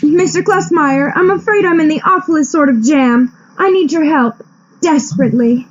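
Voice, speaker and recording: A woman acts out a coy, worried lady client in a radio comedy, her voice pitched high. She is halting and pleading in her delivery, and the sound is a little muffled. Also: slight background noise, lightly acted. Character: anxious, coy young damsel client in distress. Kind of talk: comic radio play acting.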